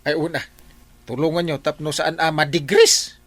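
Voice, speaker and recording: A man playing a comical, excitable village captain with an exclamatory manner in an Ilocano comedy radio drama, with an Ilocano accent. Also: fairly high pitch, moderate pace, no echo. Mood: sympathetic.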